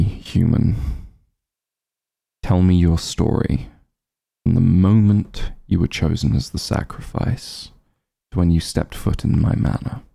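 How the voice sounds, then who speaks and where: A man playing a cold, possessive, centuries-old vampire lord in an ASMR roleplay, speaking in a hushed, gravelly, imperious and disdainful way. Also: deep voice, heavily acted. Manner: imperious, disdainful, measured and commanding. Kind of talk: ASMR roleplay, scripted dramatic monologue.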